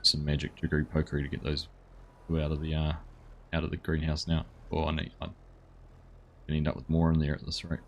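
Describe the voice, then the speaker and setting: Husky low-voiced man streaming. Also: monotone, a little fast, long pauses, dull audio. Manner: chatty, reads out and thanks viewers. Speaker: game streamer. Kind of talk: casual live-stream commentary.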